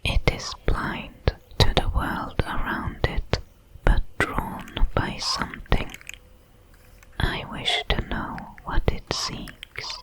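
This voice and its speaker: A woman voicing a calm, watchful alien predator in a breathy whisper, with formal, measured diction for an ASMR audio roleplay. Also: low-quality recording. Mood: curious, watchful. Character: many-legged alien predator, calm, watchful, protective, coldly curious.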